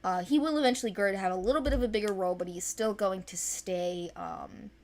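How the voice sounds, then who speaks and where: A young woman chatting informally and unscripted as she presents characters from an animated series, with a playful, self-deprecating manner. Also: mid-range voice, a little fast. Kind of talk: casual unscripted character overview.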